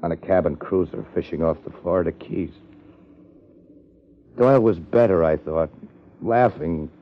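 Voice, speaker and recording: An actor playing a gruff, threatening American boxing trainer guarding a secret in a 1950s radio drama, on a muffled old recording. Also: male voice, sits low, measured pace, slight background noise. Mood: grim.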